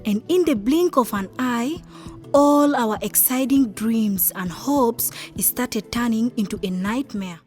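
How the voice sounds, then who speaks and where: Troubled, higher-voiced young sister. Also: female voice, takes it slowly, a little mellow, faint music behind. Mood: foreboding. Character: anxious young sister narrating her family's troubles. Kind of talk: dramatic first-person narration.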